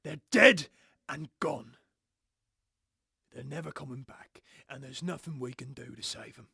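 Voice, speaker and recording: Man playing a gravelly, husky, tense boss. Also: medium pitch, measured pace, sharp tone, no room sound, studio quality, full character voice. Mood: stern resignation. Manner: grim, resigned, sternly defensive. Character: tense, defeated male boss guarding a terrible secret. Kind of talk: audio drama acting.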